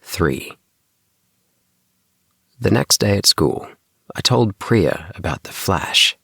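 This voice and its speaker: A man's voice: low-pitched, expressive, slow, gravelly, sharp tone, breathy edge, no room sound. A man narrating a children's sleep story as an audiobook, recorded close up in a small booth. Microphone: close mic in DIY recording booth.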